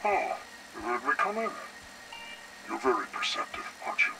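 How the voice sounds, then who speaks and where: An actor playing a smug, menacing intelligence director in a video game, speaking with condescending, deliberate taunts. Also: male voice, mid-range voice, big pitch swings, heavy background noise. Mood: needling. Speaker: interrogator. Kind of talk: dramatic video game voice acting.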